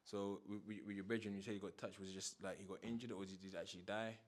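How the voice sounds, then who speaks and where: A man's voice: sits low, fast talker. A young man hosting a casual interview, asking questions with slang and the odd stammer. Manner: relaxed questioning with London slang and stutters. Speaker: interviewer and host.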